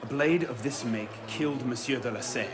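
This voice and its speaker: An actor playing a serious, guarded young assassin who speaks in dry, terse remarks, in a game cutscene. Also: male voice, medium pitch, moderate pace, background noise, natural voice. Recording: music underneath.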